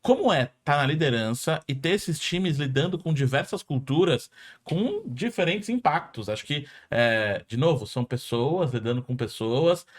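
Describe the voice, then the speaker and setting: A Brazilian man with a Brazilian Portuguese accent, hosting a podcast interview in a lively, conversational way. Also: on the high side, moderate pace. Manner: lively and conversational, with frequent restarts. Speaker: podcast host.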